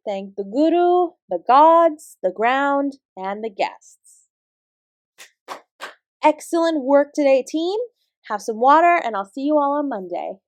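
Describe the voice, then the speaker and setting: A woman acts out an apsara living quietly as a dance teacher in an audio drama. Her voice sits fairly high and moves with very animated intonation. She has an instructive, composed manner, recorded in a dry space. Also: dry recording. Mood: rallying. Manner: encouraging, instructive, addressing a class. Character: female apsara dance teacher, warm, encouraging, defensively composed. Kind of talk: roleplay audio drama acting.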